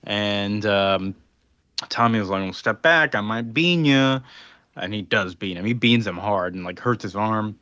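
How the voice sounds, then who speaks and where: A man's voice: fairly low pitch, a little slow, sharp tone, no echo, highs cut off. A man casually recapping a comic book plot on a podcast, rambling with filler words and little laughs. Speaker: podcast co-host.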